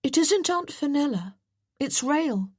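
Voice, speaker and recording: A woman's voice: high voice, lively intonation, takes it slowly, a little mellow, no echo, studio quality, pitched above the performer's own voice. A woman voicing an earnest, well-bred young boy who speaks in a precise, formal way. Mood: anxious.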